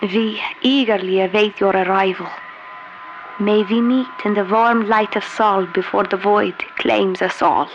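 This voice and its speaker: An actress playing a grave space fleet leader in a sci-fi audio drama, speaking formally and with solemn, measured diction. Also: female voice, mid-range voice, fairly even intonation, dull audio, lightly acted. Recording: voice set over clear music.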